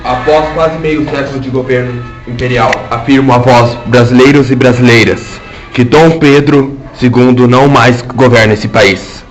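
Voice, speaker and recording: A man's voice: medium pitch, steady tone, normal speed, soft background music, lightly acted. A man playing a stern military leader who gives a solemn, formal proclamation in an amateur radio drama, heard from a distance.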